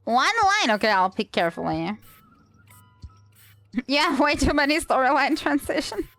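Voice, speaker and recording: A woman's voice: high voice, lively intonation, normal speed, fairly bright tone, soft background music. A woman livestreaming a game with casual, rambling commentary, playful and self-deprecating.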